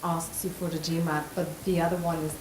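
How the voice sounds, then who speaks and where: Careful applicant in a noisy, roomy hall. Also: female voice, low-pitched, level tone, brisk, a little mellow. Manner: careful, detailed questioning. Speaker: prospective applicant. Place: university event hall. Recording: roomy hall with clear noise.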